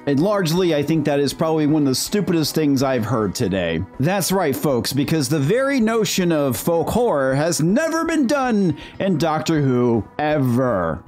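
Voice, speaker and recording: A man's voice: a little high, darkish tone. A man delivering scripted critical commentary in a sarcastic, mocking manner. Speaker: video host, TV critic.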